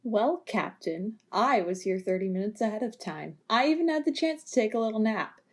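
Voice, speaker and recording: A woman's voice: medium pitch, lively intonation, moderate pace. A woman voicing a breezy, teasing security chief who also narrates her own story in an amateur audio drama.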